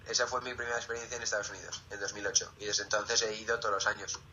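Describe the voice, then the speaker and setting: Bright-voiced Spanish teenage boy. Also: on the low side, flat delivery, rapid-fire, lo-fi sound, slight background noise. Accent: Peninsular Spanish. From Zaragoza, Spain. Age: late teens. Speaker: interviewee, student. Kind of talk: casual interview answers.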